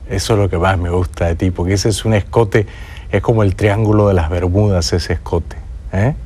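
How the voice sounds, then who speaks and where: A man's voice: deep voice, fairly even intonation, moderate pace, darkish tone, slightly rough. A Peruvian man hosting a talk show, delivering an indignant, sarcastic monologue with teasing asides. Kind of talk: opinionated monologue.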